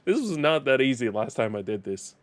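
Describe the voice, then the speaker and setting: A man's voice: medium pitch, sing-song, a little fast. A man from Denmark whose first language is Danish, speaking English with a Danish accent in casual live-stream gaming commentary, chatty, laughing often and repeating words as he restarts.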